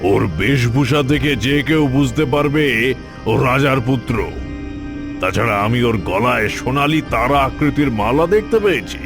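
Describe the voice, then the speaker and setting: A man playing a vengeful, scornful tantric sorcerer in a cartoon, with a gravelly voice and a scolding, commanding manner. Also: mid-range voice, normal speed, lightly acted. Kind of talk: dramatic cartoon voice acting. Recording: music behind the voice. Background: music.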